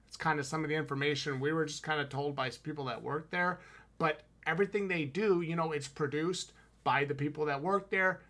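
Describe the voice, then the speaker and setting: A man with a Wisconsin accent talks casually into a headset mic from a room at home, reviewing a haunted house. His voice sits a little high and sounds bright and forward. He is enthusiastic and emphatic, with plenty of slang. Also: steady tone, a little fast. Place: home room with shelves, indoors. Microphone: headset with boom mic on video call.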